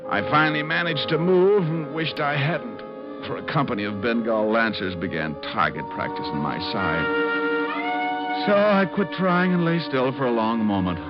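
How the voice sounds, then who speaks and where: A man's voice: medium pitch, expressive, normal speed, lightly acted. A man playing a cocky, tough private detective in a 1940s radio drama, with a wry, clipped delivery. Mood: pained, wry. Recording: muffled old-time radio recording, music behind.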